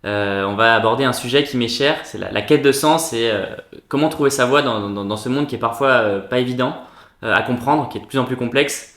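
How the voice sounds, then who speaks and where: A man's voice: mid-range voice, a little fast, fairly bright tone. A man hosting a casual round-table chat, hesitant, with many fillers and restarts. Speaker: host. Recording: echoey room.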